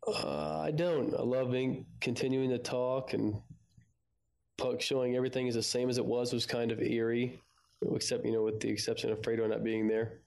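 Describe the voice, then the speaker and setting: A man's voice: mid-range voice, level tone, moderate pace, creaky, darkish tone. A man co-hosting a fan podcast, talking casually with a partner.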